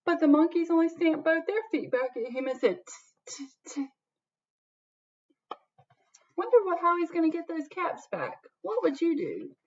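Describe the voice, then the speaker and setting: A woman's voice: extremely high pitch, sing-song, fairly quick, hardly any pauses, darkish tone, highs cut off. A woman in her thirties reading a storybook to young children expressively, like a teacher, often asking her listeners questions. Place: small home office or classroom room indoors. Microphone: webcam or laptop mic at arm's length.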